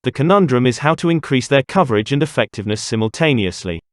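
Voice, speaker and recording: A man's voice: mid-range voice, animated, fast talker, no echo, studio quality. A man reading scripted informational passages aloud as a narrator. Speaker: narrator of exam practice passages.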